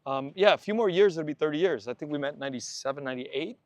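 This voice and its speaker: Easygoing guest in his forties, talky and repetitive, a touch high-pitched. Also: expressive, fast, dry recording. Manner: chatty, repeats words, leans on fillers. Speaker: podcast host, guest. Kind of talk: casual conversation while walking. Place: outdoors on a walk.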